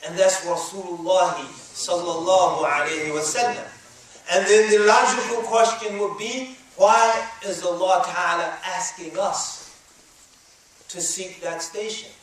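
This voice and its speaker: A man preaching a sermon with a high, ringing voice, reciting verses quickly in a second language and pressing his points with rising emphasis. He is picked up from some distance, and his voice booms around a very echoey room. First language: English.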